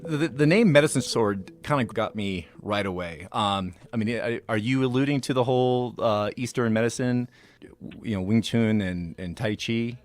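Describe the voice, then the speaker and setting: A man's voice: mid-range voice, moderate pace, faint music behind. A man hosting a casual radio interview, asking questions in an informal, slightly hesitant way. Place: radio station.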